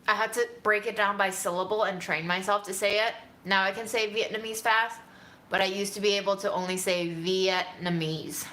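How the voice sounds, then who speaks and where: Bright, chatty woman answering questions. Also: mid-range voice, little pitch movement, moderate pace, a little hiss or hum. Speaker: vlogger answering viewer questions. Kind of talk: casual Q&A chat.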